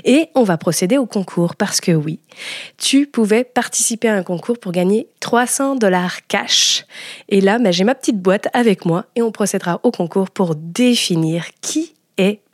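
Woman podcaster, expressive, very dry close sound. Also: medium pitch, normal speed, no room sound. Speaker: podcast host and entrepreneur. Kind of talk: personal storytelling to podcast listeners.

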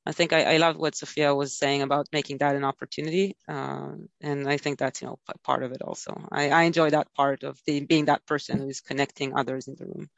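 A woman's voice: deep voice, little pitch movement, fast talker, creaky, few pauses, dry recording, muffled recording. A woman speaking as a panelist in an earnest, conversational way, often saying "you know". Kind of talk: panel discussion.

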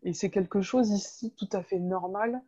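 Female podcast guest, conversational and chatty, hesitant phrasing, medium pitch, dull low-grade recording. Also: normal speed, warm tone. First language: French. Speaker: podcast guest, web entrepreneur. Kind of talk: casual interview, personal storytelling.

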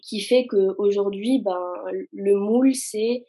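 A woman's voice: mid-range voice, steady tone, slow pace, dark tone, breathy edge. A young woman speaking in a casual conversation, hesitant and searching for words with many fillers.

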